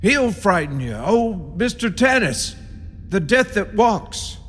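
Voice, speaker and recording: A man's voice: high-pitched, very animated, takes it slowly, a bit bright, slight background noise, exaggerated voice. A man playing an ominous rural caretaker in a radio horror play, giving grim, cryptic warnings in folksy country grammar. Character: ominous rustic caretaker, grimly warning intruders. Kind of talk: radio horror drama acting. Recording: long reverb with music behind.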